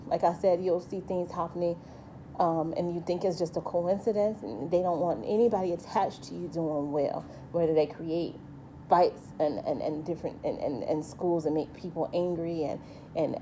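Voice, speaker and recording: A woman's voice: on the low side, dark tone, slight background noise, rough recording. A woman with a light voice giving a rambling, earnest personal monologue as a vlogger, full of restarts and asides. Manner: rambling, earnest, many restarts and "you know".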